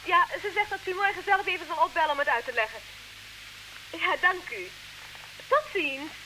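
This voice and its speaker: Very high-pitched, flustered genteel lady. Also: moderate pace. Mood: polite, fussy. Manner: hesitant, polite, fluttery. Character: flustered, evasive, genteel lady nicknamed duchess. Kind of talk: comic radio play acting. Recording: noisy old radio play recording.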